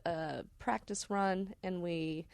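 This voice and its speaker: A woman telling personal stories as a podcast guest in casual conversation, halting and deliberate, with rather formal wording. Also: on the low side, fairly even intonation, gravelly, darkish tone, clear, resonant tone, dry recording. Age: adult parent.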